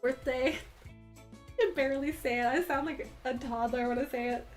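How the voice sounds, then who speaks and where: A woman's voice: high-pitched, steady tone, normal speed, faint music behind. A young woman in her twenties with a lisp, chatting casually on a livestream and reacting to viewer comments, bubbly and full of "like".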